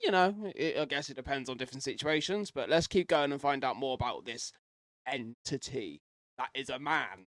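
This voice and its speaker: A man hosts a casual reaction commentary at an average pitch. He rambles and speculates as he goes, swearing mildly, in a dry recording with little room sound. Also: normal speed, fairly bright tone, no room sound. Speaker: reaction video host.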